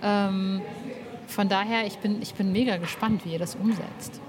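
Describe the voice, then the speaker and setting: A woman's voice: medium pitch, steady tone, normal speed, crowd noise. A woman hosting a podcast interview in a casual, colloquial way, chatting with the open enthusiasm of a fan.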